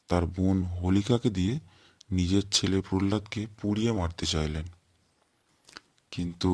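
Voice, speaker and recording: Man acting deep-voiced kindly old grandfather storyteller. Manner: slow, explanatory storytelling. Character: kindly old grandfather telling festival legends.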